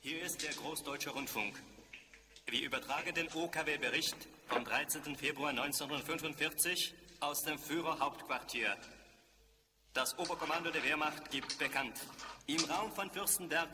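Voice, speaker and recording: A man's voice: fairly high pitch, normal speed, natural voice. Distant, noisy wartime radio announcer, a man. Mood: formal, official. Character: formal wartime German state radio announcer. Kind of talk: 1940s German wartime radio news bulletin. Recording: distant, noisy, reverberant old radio broadcast.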